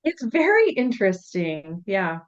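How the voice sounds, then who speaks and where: Measured woman host, slightly muffled sound. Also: mid-range voice, huge pitch swings. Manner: measured, affirming, sets up topics. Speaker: podcast co-host. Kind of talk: reflective podcast conversation.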